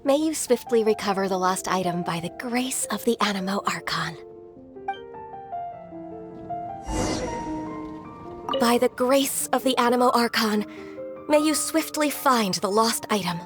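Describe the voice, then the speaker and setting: A woman voicing a gentle, devout townswoman in a video game, courteous and a little hesitant. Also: a little high, lively intonation, moderate pace, over music, close to an everyday voice. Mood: devout, kindly. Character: gentle, devout townswoman who blesses others. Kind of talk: scripted video game voice acting.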